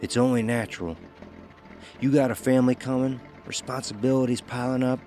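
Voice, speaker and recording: A man's voice: mid-range voice, fairly even intonation, brisk, warm tone, faint music behind, close to an everyday voice. An actor playing a haunted, resolute working man in a horror audio drama, terse and folksy in short clipped phrases. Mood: resigned, urgent. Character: Elmer Gage, haunted, resolute working man on a grim errand.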